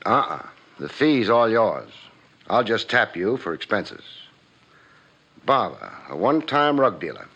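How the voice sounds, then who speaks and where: An actor playing a wry, hard-boiled private detective with dry, punchy delivery in 1950s radio noir style. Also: male voice, fairly low pitch, big pitch swings, unhurried, gravelly, pushed and bright, slightly rough, heavily acted. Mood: sympathetic, gruff. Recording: muffled old radio recording, faint music.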